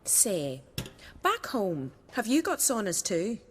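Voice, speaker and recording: A woman's voice: medium pitch, wildly swooping pitch, slow pace, husky, long reverb tail, full character voice. A woman playing a chatty, bossy young village girl in a video game, with a folksy, teasing way of talking. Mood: curious, dreamy. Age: young adult. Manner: folksy, dialect-heavy, teasing.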